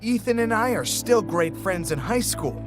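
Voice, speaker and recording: A man's voice: sits high, expressive, normal speed. A young man narrating a scripted first-person horror story, with music behind him.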